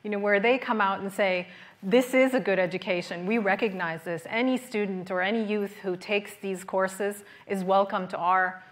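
A woman in her forties answering audience questions in a large lecture hall, measured and earnest, pausing with fillers, on a lapel mic. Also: mid-range voice, steady tone. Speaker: keynote speaker. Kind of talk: conference Q&A answers.

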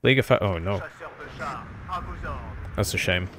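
A man giving casual, rambling and self-deprecating commentary on his own strategy game as he plays. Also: sits low.

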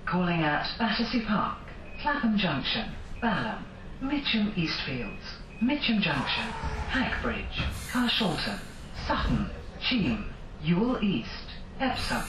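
A woman's voice: lowish voice, very animated, slow pace. A woman reading out a scripted list of stops as a railway announcer. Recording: echoey, noisy, distant station loudspeaker.

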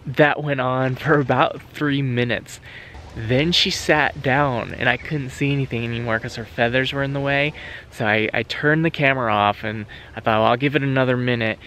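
A man's voice: mid-range voice, fairly even intonation, normal speed, pushed and bright, a little hiss or hum. Earnest homesteader man filming himself outdoors. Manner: earnest, reflective, talking while walking outdoors. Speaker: homesteader vlogger. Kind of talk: casual vlog to camera. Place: open pasture outdoors, rainy day. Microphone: camera held at arm's length.

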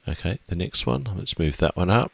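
A middle-aged man teaching music software step by step in a steady, explaining way with small fillers, from a small room at his desk. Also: low-pitched, fairly quick, vocal fry, dry recording. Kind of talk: screen-recorded software tutorial.

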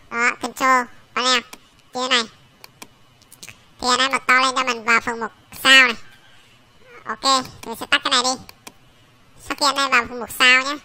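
A man voicing a small baby pig through a pitch-shifting voice changer, giving an informal software tutorial. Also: exaggerated voice. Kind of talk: informal screen-recorded tutorial.

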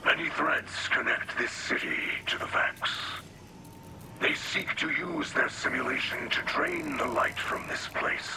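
A man voicing a solemn, wise alien warrior-mystic in a game, with a breathy, guttural rasp and grave, formal delivery. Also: medium pitch, natural voice, rough recording. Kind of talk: scripted video game dialogue. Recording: clear ambient noise behind voice.

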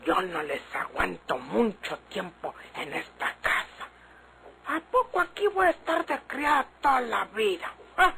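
A woman's voice: a little high, big pitch swings, measured pace, vocal fry, heavily acted. A woman playing a stubborn young ranch girl with a rural northern Mexican accent, rustic and plain-spoken, in a radio drama. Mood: defiant muttering. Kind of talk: old-time radio drama acting. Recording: faint noise, old radio serial.

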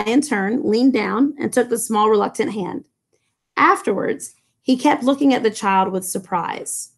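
Chatty middle-aged teacher reading aloud. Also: female voice, mid-range voice, gravelly. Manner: chatty asides full of "like" and "you know". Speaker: high school English teacher. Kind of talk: reading a novel aloud with commentary. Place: classroom with low ceiling and fluorescent lights. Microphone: laptop webcam from below.

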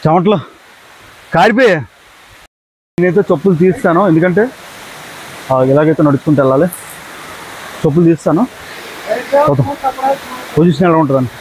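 High-pitched young man vlogging fast outdoors. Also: lively intonation, darkish tone, poor audio. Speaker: travel vlogger. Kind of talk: casual vlog chat to camera. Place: outdoors on forest trail and by waterfalls. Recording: outdoor handheld recording with background noise.

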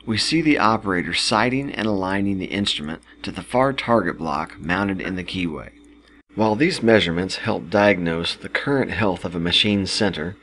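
A man reading a scripted instructional product narration in a measured, explanatory manner. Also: low voice, fairly bright tone, slight background noise.

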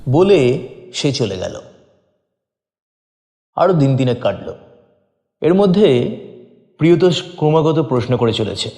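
A man reads a dramatic first-person story. His voice is mid-pitched and mellow. It rings with strong room echo, with soft music underneath. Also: very animated, slow pace, pauses often. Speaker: story narrator. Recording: roomy, long reverb.

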